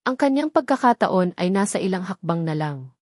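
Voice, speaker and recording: A woman's voice: lowish voice, very animated, moderate pace, no room sound, studio quality. A woman with a Filipino accent reading a scripted dramatic story as a storyteller.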